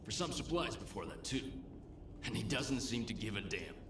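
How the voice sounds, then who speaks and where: A gruff, pragmatic barkeep from a survival video game. His delivery is lively and varied at a medium pitch, slangy and worldly. The room rings around him, with noisy ambience behind. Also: normal speed, reverberant. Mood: shrewd. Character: world-weary, pragmatic male bartender in a lawless zone. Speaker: bartender character.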